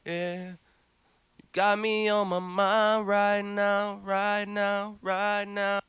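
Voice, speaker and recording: A man singing a slow R&B vocal through autotune, airy and crisp with the low end rolled off. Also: completely flat delivery, fairly bright tone, no room sound. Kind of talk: autotuned sung vocal demo.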